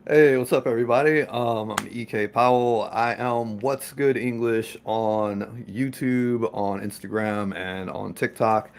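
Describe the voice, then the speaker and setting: A man's voice: medium pitch, measured pace, gravelly, few pauses, slight background noise. A man appearing as a guest on a podcast, talking in a friendly, chatty way with many fillers. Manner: friendly, chatty, with lots of "like" and laughs. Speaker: podcast guest, content creator. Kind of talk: casual interview chat.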